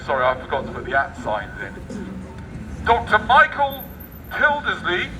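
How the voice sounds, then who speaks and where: A high-voiced Englishman performs a satirical read-aloud outdoors, his tone very bright and cutting. He declaims sarcastically, then slips into muttered asides. The mic is some way off, with faint traffic noise around him. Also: far from the mic, low-quality recording. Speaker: street performer reading a newspaper aloud. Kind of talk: satirical public read-aloud with ad-libbed commentary. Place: outdoor public street.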